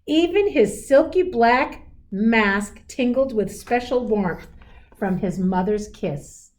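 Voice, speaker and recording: A woman reading a picture book aloud to children in a deliberate, expressive, teacherly way, adding simple explanatory asides. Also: fairly high pitch.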